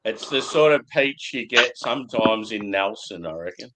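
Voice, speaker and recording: A man talks in a relaxed, blunt way and swears without a second thought. His voice sits on the low side. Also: normal speed, very noisy. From Australia. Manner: laid-back, blunt, swears freely. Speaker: beer reviewer. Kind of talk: casual chat.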